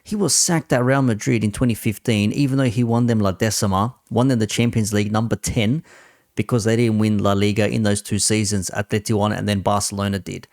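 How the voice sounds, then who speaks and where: A man with a low, gravelly voice delivers off-the-cuff sports commentary in a dry, close recording. He argues sarcastically, repeating himself and posing rhetorical questions. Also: fairly even intonation, brisk, a little mellow, no room sound. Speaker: host giving football opinion.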